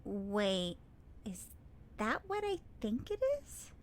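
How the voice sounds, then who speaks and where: A woman playing an excitable, loyal young sidekick in an audio drama, exclamatory, dramatic and incredulous. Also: mid-range voice, slow. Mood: curious, suspicious.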